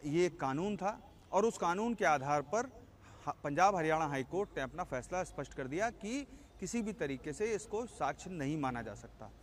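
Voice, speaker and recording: A male senior correspondent reports live in a somewhat high voice. He explains deliberately, restating key phrases, with faint vehicle-like noise around him.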